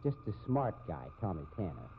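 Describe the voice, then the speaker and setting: A man's voice: low voice, big pitch swings, a little fast. An American man playing a jealous, scheming rodeo cowboy husband with swaggering Western slang, in the style of 1950s radio drama. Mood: sly, cocky. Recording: muffled, hissy old radio broadcast.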